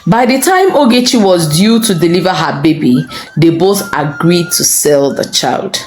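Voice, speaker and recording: A woman's voice: fairly low pitch, animated, moderate pace, reverberant, soft background music. A woman storyteller reading a scripted folktale narration.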